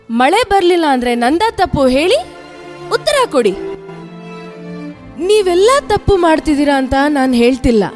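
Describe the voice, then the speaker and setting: A high, very expressive female voice over loud music , an actress playing a rain goddess. She is motherly but wronged, pleading and indignant in audio-drama style. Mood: pleading, helpless. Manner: impassioned, pleading rhetorical questions. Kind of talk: Kannada audio drama monologue. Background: dramatic background music.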